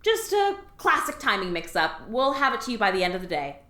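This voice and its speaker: A sardonic, animated alien office clerk. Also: female voice, medium pitch, brisk, roomy sound. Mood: falsely breezy. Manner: sarcastic, falsely breezy with superiors. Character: Bethany, sardonic female alien office clerk, bossy and slick. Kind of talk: scripted audio comedy acting.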